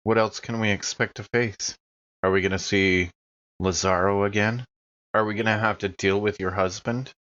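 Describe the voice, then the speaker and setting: Tabletop game role: male player as sober, practical man, low-pitched, matter-of-fact, on slightly muffled audio. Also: steady tone, normal speed, fairly bright tone, close to an everyday voice. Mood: earnest.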